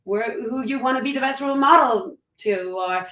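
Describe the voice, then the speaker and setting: A woman's voice: high-pitched, normal speed, a bit bright. Candid upbeat woman, echoey far-off mic. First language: French. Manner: candid, upbeat, talks in run-on thoughts. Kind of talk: casual conversation.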